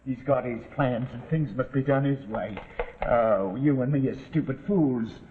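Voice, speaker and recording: An actor playing a boastful, rough male crook with working-class dialect, in 1940s television suspense drama style. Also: mid-range voice, a little slow, close to an everyday voice. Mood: cocky. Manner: rustic working-class dialect, swaggering storyteller. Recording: muffled, noisy old 1949 TV soundtrack.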